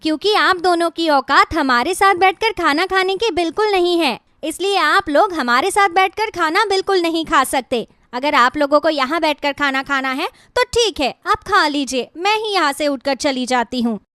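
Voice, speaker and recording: A woman's voice: very high-pitched, animated, moderate pace, hardly any pauses, sharp tone, no echo, studio quality, exaggerated voice. A woman voicing a cruel, bossy young daughter-in-law in a cartoon story, with a haughty, scolding manner. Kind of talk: Hindi cartoon moral-story dialogue.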